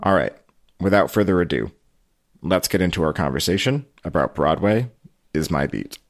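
A man's voice: low voice, expressive, moderate pace. A man hosting a podcast, moving between scripted introduction and conversational talk. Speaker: podcast host.

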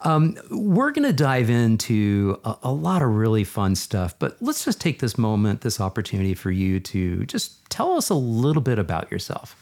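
A man's voice: lowish voice, sing-song, moderate pace, hardly any pauses, a little mellow. A man hosting a podcast, chatting in an effusive, enthusiastic way and repeating words for emphasis in a casual interview. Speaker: podcast host. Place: video call recording.

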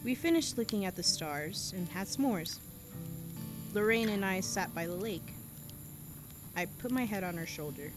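A woman's voice: medium pitch, animated, measured pace, pauses often, natural voice. A woman voicing a wistful teen girl over music. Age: teens. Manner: wistful, introspective diary-style monologue. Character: reflective teenage girl on the run. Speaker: narrator and lead character. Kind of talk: audio drama acting.

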